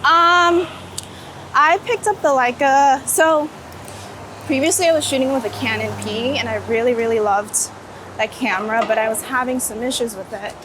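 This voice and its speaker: A woman with a fairly high voice talks informally and with enthusiasm, like someone being interviewed on a walk. She is outdoors, with some city traffic noise behind her. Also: normal speed. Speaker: street photographer being interviewed. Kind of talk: casual interview chat while walking. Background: street ambience and passing vehicles.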